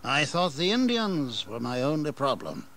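An actor playing a weary, proud older colonial governor in an audio history drama, with a stately delivery. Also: male voice, medium pitch, wildly swooping pitch, normal speed, gravelly, fairly bright tone, full character voice. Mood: weary, reflective.

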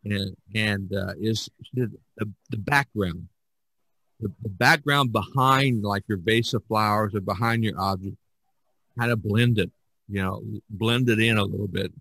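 Male podcast host, middle pitch, bright forceful voice, halting and repetitive, close dry sound. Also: husky, no echo, rough recording. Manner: halting, with repeated words and frequent "you know". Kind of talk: casual discussion of art practice.

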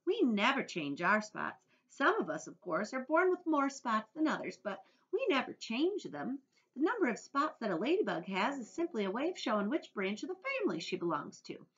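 Woman voicing a dainty ladybug lady, high and lively, refined and a bit boastful, dull lo-fi sound. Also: normal speed. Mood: genteel. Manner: refined, modest, slightly boastful. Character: prim, genteel female ladybug, small and proud. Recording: dull lo-fi audio.

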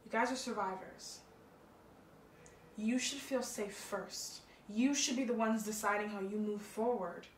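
An actress playing a blunt, profane but caring young college friend. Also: female voice, mid-range voice, fairly even intonation, unhurried, reverberant, a little hiss or hum, lightly acted. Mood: earnest. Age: young adult.

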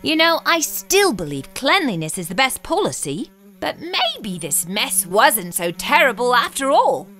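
A woman's voice: a little high, huge pitch swings, unhurried, sharp tone, faint music behind, heavily acted. A woman voicing a fussy, neat-freak young male frog who exclaims and frets dramatically in a storybook reading. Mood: contented. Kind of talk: storybook read-aloud acting.

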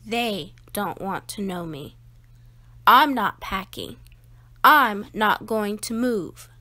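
A woman with a fairly high voice reads a children's picture book aloud. She takes it very slowly and phrases things with deliberate emphasis, in an expressive storytelling style.

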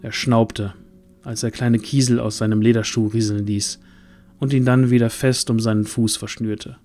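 A man's voice: medium pitch, level tone, moderate pace, dark tone, faint music behind. A man narrating a scripted fantasy audio drama in a dramatic storytelling style.

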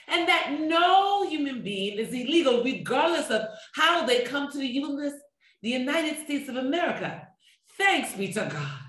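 A woman's voice: high-pitched, normal speed. A middle-aged woman pastor preaching a sermon with rhythmic repetition, recorded in a small room. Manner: expressive preaching with wide hand gestures, rhythmic repetition. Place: small room with a table, indoors. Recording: echoey room.

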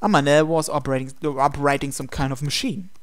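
A man's voice: a little high, fairly quick, clean tone, dry recording, clean studio sound. A man reading visual novel narration and dialogue aloud as a gaming video host. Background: game music.

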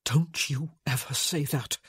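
A woman reading a novel, voicing a guilt-ridden, defensive man who talks in a terse, halting, desperate way. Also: on the low side, lively intonation, darkish tone, husky, no room sound, studio quality, heavily acted. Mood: desperate, forceful.

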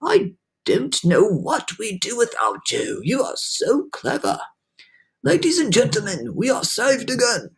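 A performer voicing a courteous old male grasshopper with a formal, measured, kindly manner in a children's storybook. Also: medium pitch, wildly swooping pitch, gravelly, pitched above the performer's own voice, exaggerated voice. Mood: grateful. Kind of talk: children's storybook read-aloud.

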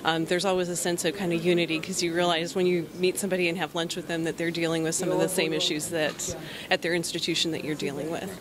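A woman's voice: fairly low pitch, flat delivery, fast, a crowd in the background. A woman, a conference attendee, speaking earnestly about meeting colleagues. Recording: clear background hum.

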